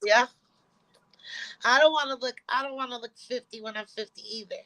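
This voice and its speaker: A woman hosting a casual interview, encouraging her guest in a relaxed, plain-spoken way. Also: fairly high pitch, brisk, no echo, poor audio. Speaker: podcast host.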